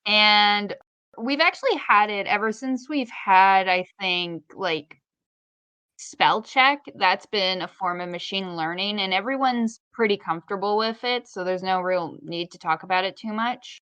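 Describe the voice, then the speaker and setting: A woman's voice: medium pitch, a little slow, pushed and bright, clear, resonant tone, no room sound, dull audio. A woman co-hosting a podcast, explaining things in an informal, conversational way. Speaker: podcast co-host. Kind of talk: casual conversational podcast chat.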